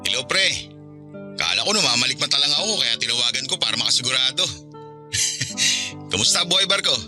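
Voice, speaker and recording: A man plays an easygoing Filipino acquaintance on a call in a radio drama. He speaks fast in a mid-range voice, casual and chatty. Music is clearly heard behind him. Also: mid-range voice, animated, natural voice. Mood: friendly, curious. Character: easygoing, willing male hometown acquaintance on a call.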